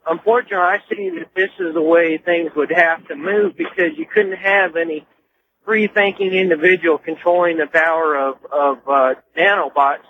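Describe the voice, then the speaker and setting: Male phone-in caller, higher voice, hesitant and stumbling, thin telephone sound with some noise. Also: steady tone. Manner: halting, hesitant. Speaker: radio show caller. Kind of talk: casual phone-in question. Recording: noisy, narrow phone line.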